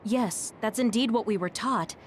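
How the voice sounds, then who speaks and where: A woman voicing a calm, knowledgeable officer in a video game, explaining things precisely and with composure. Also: a little high, normal speed, a little hiss or hum, natural voice. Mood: calm, explanatory. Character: calm, knowledgeable female sci-fi squad officer. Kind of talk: video game cutscene voice acting.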